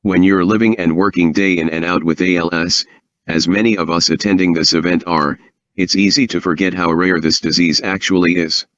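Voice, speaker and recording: A man's account is delivered as a prepared reading in a synthesized text-to-speech voice, fluent and even with no fillers. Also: sits low, fairly quick, dry recording, dull audio. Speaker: author and guest.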